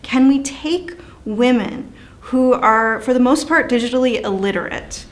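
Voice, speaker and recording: A woman's voice: fairly high pitch, unhurried. A woman, a company founder, giving documentary interview answers emphatically, posing rhetorical questions.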